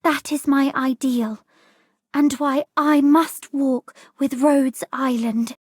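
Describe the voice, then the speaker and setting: An earnest, gentle young woman for a video game. Her voice is high and soft, with slow, careful phrasing. It is recorded dry and close. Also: steady tone, dry recording. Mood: solemn, wistful. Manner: hesitant, soft, deliberate. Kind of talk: video game character voice lines.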